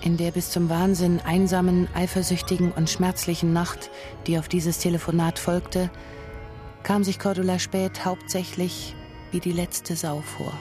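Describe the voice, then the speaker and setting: A woman's voice: on the low side, level tone, measured pace, mellow. A woman narrating a literary radio drama from a script in a composed, precise storytelling manner. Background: music.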